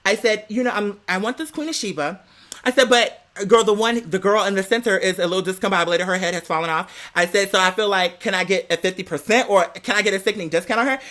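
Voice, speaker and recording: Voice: medium pitch, fast talker, pushed and bright. A vlog host telling a personal story in a sassy, emphatic, slangy way, theatrically acting out the dialogue. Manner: sassy, slangy, emphatic, peppering talk with "girl"; theatrical, acts out quoted dialogue. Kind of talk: animated gossipy storytelling vlog.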